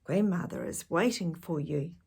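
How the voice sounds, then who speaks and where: A woman voicing a caring, cautious mother in a storybook read-aloud, gentle and instructive. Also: deep voice, moderate pace, gravelly, husky, heavily acted.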